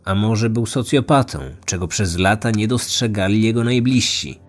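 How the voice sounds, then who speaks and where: A man's voice: on the low side, moderate pace. A man hosting a true-crime podcast, reading scripted narration.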